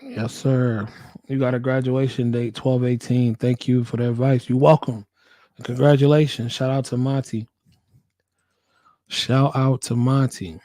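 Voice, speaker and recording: Subdued American man, flat-toned livestream host. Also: mid-range voice, measured pace, no echo. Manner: laid-back, slangy, repeats phrases. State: hoarse, recovering from a cold. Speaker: livestream host, working nurse. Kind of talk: casual livestream chat.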